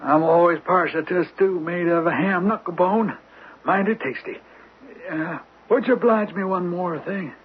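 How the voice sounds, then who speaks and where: Man acting a high-voiced, folksy American hunter. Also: normal speed, close to an everyday voice. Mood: affable. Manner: folksy, rambling, ingratiating. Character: folksy detective posing as a chatty, affable hunter. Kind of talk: radio drama acting. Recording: thin phone-like old radio, noisy.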